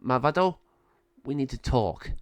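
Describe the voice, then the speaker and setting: A man's voice: mid-range voice, animated, unhurried, no echo, close to an everyday voice. A man voicing an earnest, protective sorceress who speaks firmly and urgently. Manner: firm, urgent. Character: earnest wise sorceress, protective elder sister.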